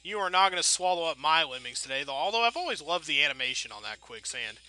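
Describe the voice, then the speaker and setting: Bright, high-voiced man doing game commentary. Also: lively intonation, quick pace, faint music behind, poor audio. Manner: rambling, playful, folksy mild exclamations. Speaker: gameplay video host.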